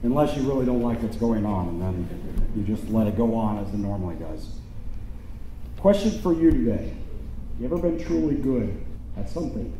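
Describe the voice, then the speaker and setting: In a heavily reverberant church with faint noise, a middle-aged American man delivers a homily. His voice is very mellow and dark, at an ordinary male pitch. He speaks informally, posing questions to the congregation. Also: expressive, normal speed. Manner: informal, checks in with listeners. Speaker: deacon giving a homily. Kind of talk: conversational sermon with rhetorical questions. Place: church interior at a lectern.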